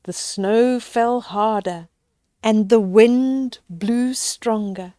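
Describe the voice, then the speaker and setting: A woman's voice: on the high side, drawn-out words, dark tone, no echo, clean studio sound. An older woman reads a children's story aloud, adding simple chatty asides for young listeners. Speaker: storyteller reading children's picture books aloud.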